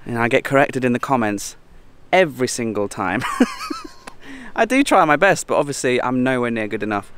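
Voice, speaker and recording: A man's voice: very animated, moderate pace, fairly bright tone, a little hiss or hum. Chatty man vlogging outdoors. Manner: chatty, talks to animals in a high playful voice. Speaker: travel vlogger. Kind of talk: casual travel vlog chat. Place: outdoors at a petting farm and a dam. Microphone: handheld camera at arm's length.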